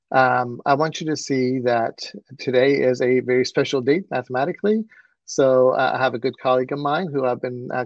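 A man whose first language is Persian, giving an earnest webinar presentation as a mathematics educator, often pausing with um and uh. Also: medium pitch, gravelly, no room sound. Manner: earnest, with frequent um and uh fillers. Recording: dull, lo-fi video call audio.

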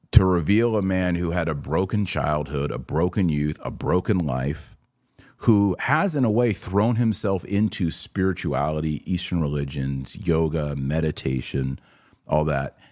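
An American man in his forties with a low voice and a dry, close sound. He talks as a podcast host on a livestream, conversational and direct with his audience. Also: measured pace, a little mellow, dry recording. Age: 40s. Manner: conversational, addresses listeners directly. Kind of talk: casual livestream commentary, answering viewer comments.

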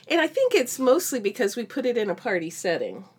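A woman's voice: fairly high pitch, big pitch swings, a little fast, slightly rough. A middle-aged woman co-hosting a podcast, chatting casually and telling anecdotes with wry, self-deprecating humor. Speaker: podcast co-host.

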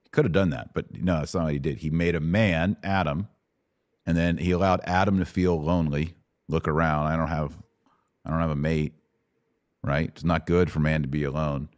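A man's voice: extremely low pitch, fairly even intonation, normal speed, dry recording, clean studio sound. A man hosting a conversational Bible teaching podcast, explaining step by step and often checking that he is understood. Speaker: host and Bible teacher answering questions.

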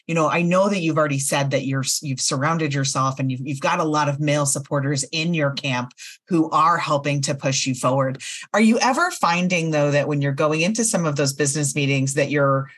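A woman hosting a podcast, asking long, measured and thoughtful interview questions. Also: low-pitched. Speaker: podcast host.

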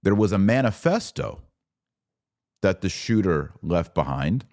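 A man hosting a podcast, giving solo commentary on the news in an earnest, emphatic way with short, deliberate phrases. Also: low-pitched, big pitch swings, a little mellow.

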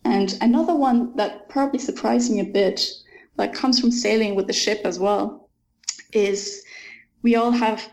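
A young woman with a middle-range voice talks about her writing in an interview. She answers thoughtfully and enthusiastically, often pausing on an um before she goes on. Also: medium pitch, rough recording. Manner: thoughtful and enthusiastic, with frequent ums. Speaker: author being interviewed.

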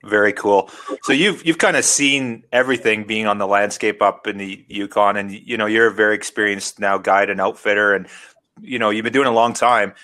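A man's voice: lowish voice, fairly even intonation, fairly quick, bright, forceful tone. A man hosting an interview, asking long, rambling questions padded with "you know". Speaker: podcast host interviewer.

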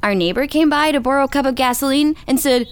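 A woman playing an excitable young child who tells tall tales in a theatrical, animated way for a children's story. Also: sits high, quick pace, no room sound, natural voice. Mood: lively, amused. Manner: theatrical, exuberant, acts out voices.

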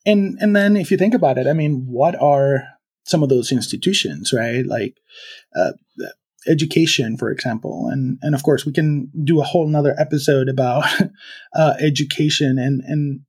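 Halting husky-voiced man. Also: mid-range voice, darkish tone. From Venezuela. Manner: halting, many fillers and restarts. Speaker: podcast guest. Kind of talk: reflective conversational interview.

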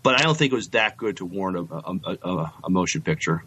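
A man with a moderately low voice co-hosts a casual podcast. His delivery is halting and conversational, with hesitant, repeated words as he chats and reads out comments. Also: animated, bright, forceful tone, husky. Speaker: podcast co-host. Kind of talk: casual chat, reading out listener comments.

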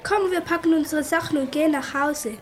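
A woman's voice: high-pitched, brisk, close to an everyday voice. A female performer playing a practical, inquisitive young girl sleuth in a children's radio play. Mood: casual.

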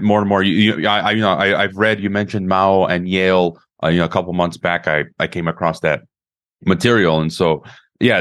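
A man's voice: on the low side, a bit bright. Stammering male interview host. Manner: stammering, repeats words while searching. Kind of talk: conversational interview.

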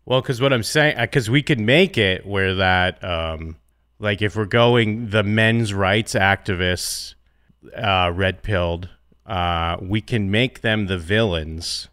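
A man pitching story ideas in a casual chat, animated and halting with many fillers. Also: smooth, no room sound, studio quality. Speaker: co-writer brainstorming a story. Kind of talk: casual creative brainstorming chat.

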